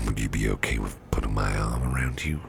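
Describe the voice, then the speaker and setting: A man playing a caring, courteous businessman boss in an ASMR roleplay, speaking in a soft, soothing, half-whispered murmur. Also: extremely low pitch, steady tone, fairly quick, gravelly, background noise. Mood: tender, soothing. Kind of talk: ASMR personal attention roleplay.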